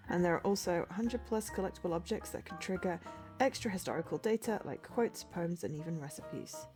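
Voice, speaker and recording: A woman streamer with a cold and a slightly low voice, sounding a little sniffly. She chats loosely and hesitantly, filling gaps with ums and tripping over unfamiliar names. Faint music plays underneath her talk. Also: darkish tone. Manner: chatty and hesitant, full of ums, unsure of name pronunciations. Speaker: game streamer. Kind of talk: casual livestream chat, reading a game blurb aloud.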